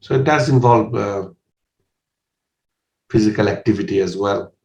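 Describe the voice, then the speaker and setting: A man in his sixties from Bangladesh, answering interview questions in a measured, hesitant way with frequent pauses. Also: on the low side, darkish tone. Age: 60s. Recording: roomy echo.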